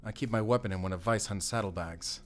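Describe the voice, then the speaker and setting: An actor playing a stern, secretive werewolf hunter with a German accent, giving terse, grave orders in a cinematic audio drama. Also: male voice, lowish voice, fast talker, heavy background noise, lightly acted. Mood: grave, hushed, wary.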